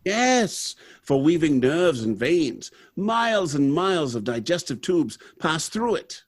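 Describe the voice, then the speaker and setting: Man playing high, animated, brash factory boss. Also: slow, exaggerated voice. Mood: breezy, boastful. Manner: theatrical, confident, expository. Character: brash, proud robot factory manager, unsentimental man. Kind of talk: live recreation of 1930s radio drama.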